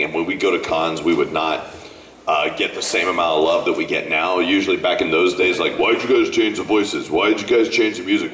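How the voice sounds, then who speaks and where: A man with a natural bass voice, a voice actor chatting easily on a convention panel and moving the questions along. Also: fairly bright tone. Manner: easygoing, friendly, moderating questions. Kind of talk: casual panel chat. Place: large convention hall. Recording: echoey hall from far off.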